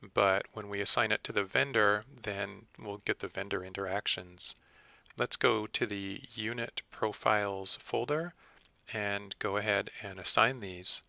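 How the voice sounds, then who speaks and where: Bright, gravelly man narrating a software tutorial. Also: lowish voice, no echo. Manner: methodical, explanatory. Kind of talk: step-by-step screen tutorial narration.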